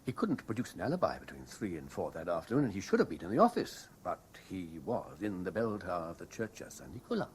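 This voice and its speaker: Tormented lovestruck man, deep and dramatic. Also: wildly swooping pitch. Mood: bitter, confessional. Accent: British. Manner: brooding, deliberate, intensely emotional. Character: tormented, obsessively lovestruck man confessing his cowardice. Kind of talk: BBC radio drama acting. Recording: hissy 1970s radio broadcast recording.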